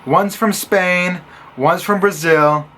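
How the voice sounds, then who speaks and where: High-voiced young man telling stories. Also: takes it slowly, a little hiss or hum. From Illinois, USA. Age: young adult. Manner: animated and sarcastic, acting out others' lines. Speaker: college student vlogger. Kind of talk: casual storytelling vlog.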